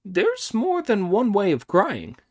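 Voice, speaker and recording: A man's voice: high voice, slow pace, voice pushed up, exaggerated voice. A man reading an audiobook, voicing a cheeky, boastful male monkey king who bosses the others around. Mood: bossy. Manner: boastful, commanding, excitable.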